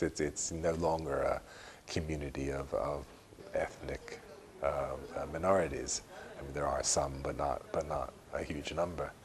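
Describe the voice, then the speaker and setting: Very deep-voiced older man, reflective. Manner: hesitant, rambling, self-correcting. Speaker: interviewee, long-time resident. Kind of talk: reflective interview talk.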